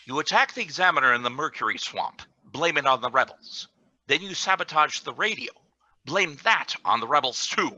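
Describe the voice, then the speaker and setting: Scheming accuser male performer, radio play. Very forceful, swooping delivery, insinuating. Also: on the high side, animated, moderate pace, muffled recording, exaggerated voice. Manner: insinuating, pointed, theatrical. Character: scheming, accusatory security chief. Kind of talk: scripted radio play reading.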